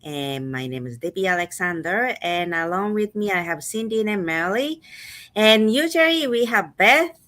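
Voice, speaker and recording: A woman from Japan, hosting a casual online business chat in an unhurried way with many fillers. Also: mid-range voice, animated, fairly bright tone. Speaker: host.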